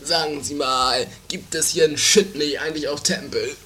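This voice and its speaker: A man's voice: fairly high pitch, fairly even intonation, moderate pace, noisy background, natural voice. A man playing a bumbling, hesitant, theatrical husband in an improvised comedy horror radio play. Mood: flustered. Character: Ottokar Newton, bumbling, hesitant castle-dwelling husband.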